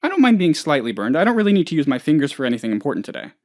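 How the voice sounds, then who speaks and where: An actor playing a naive, anxious, scatterbrained office boss who rambles and gets sidetracked, in a video game. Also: male voice, fairly high pitch, very animated, rapid-fire, darkish tone. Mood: pleading, eager. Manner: rambling, absent-minded, easily sidetracked. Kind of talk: video game character voice lines.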